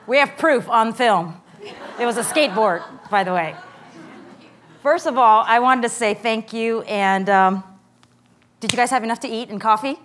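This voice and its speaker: A woman in her forties with a loud voice, giving a lively, joking inspirational talk to a women's morning gathering. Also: fairly high pitch, normal speed, pauses often. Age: 40s. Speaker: guest speaker.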